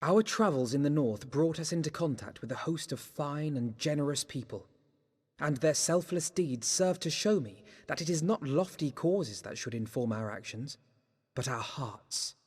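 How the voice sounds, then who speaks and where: An actor playing an earnest, eloquent young nobleman in a game, with a formal, measured British delivery. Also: a little high, animated, breathy edge. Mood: earnest, resolute. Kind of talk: formal scripted game dialogue. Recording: long hall reverb.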